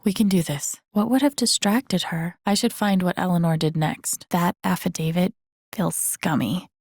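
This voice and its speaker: A woman playing a thoughtful, wry young game protagonist, musing to herself in low-key introspective lines. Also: normal speed, darkish tone, husky, dry recording, clean studio sound. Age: young adult.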